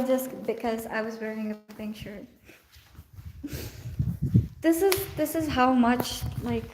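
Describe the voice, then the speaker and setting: Young urdu-speaking woman rambling on a phone live stream, kinda high voice, windy noisy outdoor audio. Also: a little mellow. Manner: chatty, laughing, self-teasing, switches into Hindi and Punjabi. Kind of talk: casual live-stream chat while walking. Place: outdoors at night, walking around her building.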